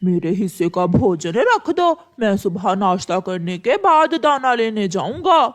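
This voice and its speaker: A woman voicing an aggrieved old male crow in a children's cartoon, with a drawn-out, complaining delivery. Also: mid-range voice, big pitch swings. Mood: tired.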